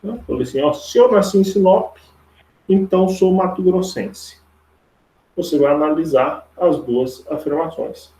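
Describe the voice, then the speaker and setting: A Brazilian man with a creaky voice teaching a live online logic lesson step by step, informally, repeating himself and checking for agreement with tag questions. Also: fairly high pitch, measured pace, dark tone. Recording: roomy, echoey online class audio.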